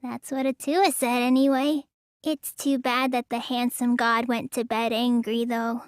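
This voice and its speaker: A woman's voice: on the high side, level tone, a little slow, clear, resonant tone, no echo, clean studio sound, natural voice. A woman voicing a sulky, lazy teenage girl in a video game, with a pouty drawl that stammers when flustered. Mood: sulky, weary. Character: sulky, lazy teenage girl who claims real magic. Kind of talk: English video game voice acting.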